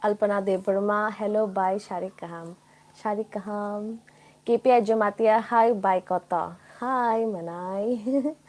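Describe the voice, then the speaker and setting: Woman, Indian accent, medium pitch, live stream host, casual, informal, explanatory, talking directly to viewers. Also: fairly even intonation, fast talker, darkish tone. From Tripura, India.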